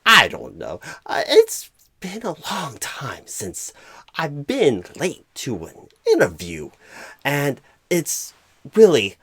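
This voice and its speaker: A man playing a clueless, flustered job recruiter in an ASMR comedy roleplay, stammering and repeating himself in a husky, halting voice. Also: fairly high pitch, huge pitch swings, a bit bright, full character voice. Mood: apologetic. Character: flustered, clueless, absent-minded male job recruiter, apologetic and rambling. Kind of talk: ASMR comedy roleplay, celebrity-style impression.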